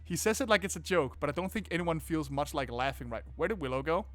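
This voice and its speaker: Higher-voiced man doing sweary game commentary. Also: fast talker, no room sound. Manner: casual, sweary, expressive. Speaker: gaming video host. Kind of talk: game playthrough commentary, reading narration aloud. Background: faint game music.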